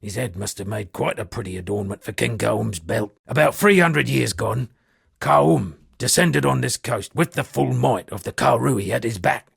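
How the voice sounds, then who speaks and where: A man voicing a roguish shipwrecked sea captain and amateur poet in a video game, with a gravelly, husky voice and a wry, flowery storytelling manner. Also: mid-range voice, normal speed, a bit bright, no room sound, studio quality, full character voice. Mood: wry, musing. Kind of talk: video game character dialogue.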